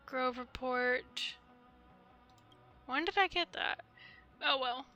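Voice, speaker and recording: A woman's voice: high voice, slow, bright, forceful tone. A woman doing casual livestream game commentary, rambling and thinking aloud in a tentative way. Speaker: game streamer. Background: game music.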